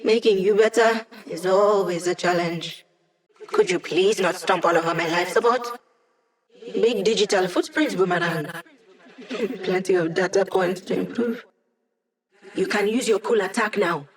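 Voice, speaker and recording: A woman's voice: mid-range voice, a little slow, natural voice. An actress voicing a witty young female hacker in a video game, a digitized mind who helps a squad with breezy, wry, techy teasing. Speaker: mission support character in a video game.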